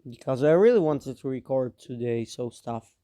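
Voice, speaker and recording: A man's voice: medium pitch, expressive, normal speed, warm tone, no room sound, lo-fi sound. A young man narrating a map scenario in a casual, rambling way, with offhand teasing asides; he is a bit sick. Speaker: mapping video narrator.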